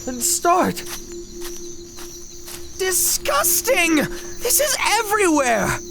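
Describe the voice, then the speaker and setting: A woman's voice: very high voice, wildly swooping pitch, drawn-out words, gravelly, noisy background, heavily acted. A woman voicing a squeamish, impatient, bossy female forager of a reptile folk in a fantasy audio drama. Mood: urgent, disgusted. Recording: loud music bed, night insect sounds.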